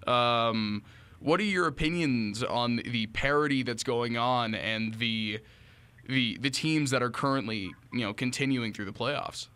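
A man's voice: medium pitch, a little slow, sharp tone, clear, resonant tone. A young man hosting a casual sports interview, speaking into a close studio microphone. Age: young adult. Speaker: podcast host. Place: small home-style studio room. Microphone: close studio mic on boom arm, headphones.

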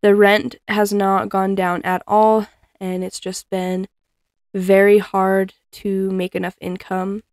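A young woman talking casually at home while she folds clothes. She rambles in a friendly way, full of ums and small laughs. Her voice sits at a middle pitch, and the recording is dry, with almost no room sound. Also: level tone, takes it slowly, a little mellow, dry recording. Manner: chatty, laughs often, frequent ums. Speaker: cleaning vlogger. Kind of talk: casual chat while folding laundry. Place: living room at home, indoors. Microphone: fixed camera across the room.

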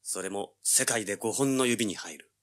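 An actor playing a cocky, hard-boiled young treasure hunter, brash and wry, in a dramatized audiobook. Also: male voice, fairly low pitch, normal speed, background noise, lightly acted. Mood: brooding narration. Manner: brash, wry, rough-spoken. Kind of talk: dramatized audiobook acting with first-person narration.

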